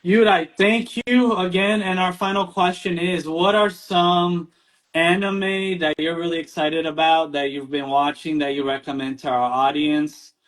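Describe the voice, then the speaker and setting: A man's voice: a little high, steady tone, unhurried, fairly bright tone. A man hosting a podcast interview, thanking his guest at length and chiming in casually. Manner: effusive, thanks at length, jumps in. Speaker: podcast host.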